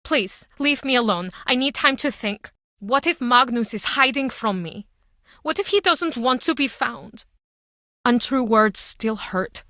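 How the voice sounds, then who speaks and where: A bitter, guarded woman who runs a small-town pub in a video game. Her voice is fairly high and sharply bright, with curt, brooding, dramatic phrasing. The recording is dry and close. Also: expressive, unhurried, dry recording. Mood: hurt, anguished. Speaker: game character.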